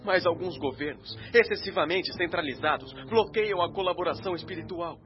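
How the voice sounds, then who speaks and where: An actor playing an anxious spirit radio announcer, delivering a solemn, impassioned, oratorical appeal for peace in a radio drama. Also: male voice, high-pitched, brisk, highs cut off, natural voice. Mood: grave warning. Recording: band-limited radio sound under loud music. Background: loud music.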